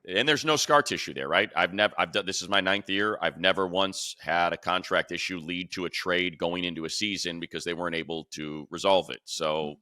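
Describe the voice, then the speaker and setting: A man's voice: low voice, fairly quick, few pauses, sharp tone, no room sound, studio quality. A middle-aged American man hosting a casual sports discussion, speaking emphatically and with firm opinions. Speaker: sports podcast host, play-by-play announcer.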